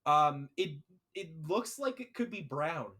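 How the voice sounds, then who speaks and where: A man's voice: a little high, lively intonation, measured pace, pushed and bright. A man hosting a casual podcast chat, rambling through ideas with many fillers and restarts. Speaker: podcast host.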